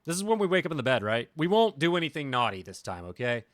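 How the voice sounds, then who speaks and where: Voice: medium pitch, very animated, fast, dry recording. A streamer playing a visual novel, chatting casually and reading game text aloud in a playful, joking way, often repeating phrases. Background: game music.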